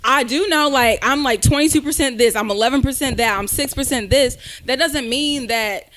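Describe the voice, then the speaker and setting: A young woman co-hosting a podcast who talks in an excitable way, full of fillers. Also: high-pitched, moderate pace. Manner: excitable, laughing, filler-heavy. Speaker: podcast co-host. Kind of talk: casual debate chat.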